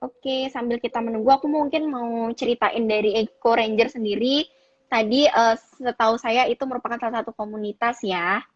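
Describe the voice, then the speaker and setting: A woman's voice: a little high, steady tone, fairly quick, muffled recording. A woman hosting a live online talk, chatty and mixing formal greetings with casual phrasing. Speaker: host.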